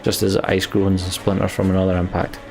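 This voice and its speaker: A man with a gravelly voice reading a game's story text theatrically as a let's play streamer. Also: low-pitched, completely flat delivery, fast, darkish tone. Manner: theatrical, acts out the characters' lines. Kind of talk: dramatic reading of game story text, with asides. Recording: game music behind the voice. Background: game music.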